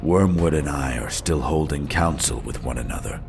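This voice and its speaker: Very deep, menacing dark elf warlord. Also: male voice, gravelly, faint music behind. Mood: coldly commanding. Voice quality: deep, resonant. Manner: slow, commanding, measured. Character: menacing dark elf raider lord in skull helm. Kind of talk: dramatized fantasy audiobook.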